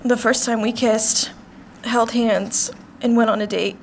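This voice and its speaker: A young woman reading her wedding vows in a barn, soft-spoken, hesitant and tearful. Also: a little high, steady tone, a little slow, creaky, a little hiss or hum. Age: young adult. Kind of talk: emotional personal wedding vows. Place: large open barn venue, indoors.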